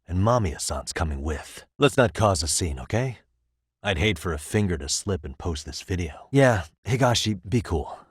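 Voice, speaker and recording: Deep-voiced, composed American detective. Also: male voice, no echo, clean studio sound. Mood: composed, decisive. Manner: controlled, pointed, dryly sardonic questioning. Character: male detective, composed, probing, wry and tough. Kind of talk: video game voice acting, dramatic interrogation dialogue.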